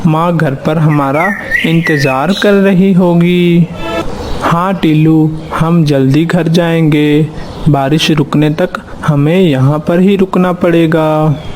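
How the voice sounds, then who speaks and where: Man as young cartoon bird boy, earnest, fairly high male voice, very slow, music behind. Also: steady tone, darkish tone, slight background noise. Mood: worried. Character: young schoolboy bird brothers, patient and worried.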